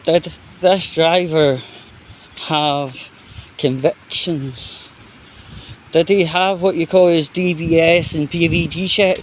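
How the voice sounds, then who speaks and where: A Scottish man speaks in a slightly high voice at a slow pace. He delivers an unscripted vlog monologue in halting, repetitive phrases, with faint noise in the background. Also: steady tone. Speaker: vlogger.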